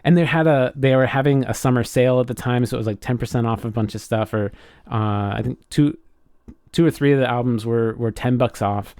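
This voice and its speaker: A man's voice: medium pitch, fairly quick, a little mellow, smooth, dry recording, clean studio sound. A man co-hosting a podcast in casual chat, effusive and enthusiastic, often restarting his phrases. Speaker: podcast co-host.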